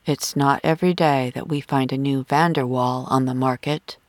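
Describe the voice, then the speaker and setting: A woman's voice: extremely low pitch, steady tone, normal speed, no room sound, pitched below the performer's own voice. A woman voicing a composed, patronising wealthy husband and father in an audiobook. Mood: condescending, composed. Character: smooth, condescending, unflappable wealthy husband and father.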